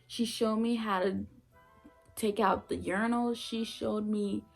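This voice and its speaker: Gravelly young woman telling it straight. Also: medium pitch, steady tone, measured pace, soft background music, poor audio. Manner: emphatic, blunt, repeats points for effect. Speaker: former nursing assistant vlogger. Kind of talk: casual storytelling vlog.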